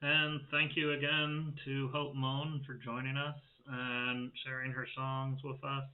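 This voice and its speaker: A man's voice: mid-range voice, monotone, a little slow. A man hosting informally in a casual, appreciative way over a video call. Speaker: show host, music technology facilitator. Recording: thin phone-like sound.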